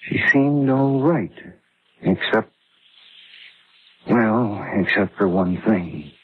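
An actor playing a cautious camp doctor in a radio horror drama, husky and halting as he reluctantly breaks bad news. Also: lowish voice, expressive, full character voice. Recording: thin, phone-like radio drama recording.

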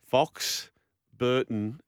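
Mid-pitched Australian man, casual radio co-host. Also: drawn-out words, smooth, no room sound, clean studio sound. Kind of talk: casual sports radio banter. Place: radio studio.

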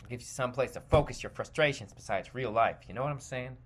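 A man's voice: mid-range voice, quick pace, breathy edge. An American man hosting a podcast, ranting in an exasperated, foul-mouthed, emphatic stream of consciousness and often repeating himself. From United States. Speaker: podcast host. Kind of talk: stream-of-consciousness comedic rant.

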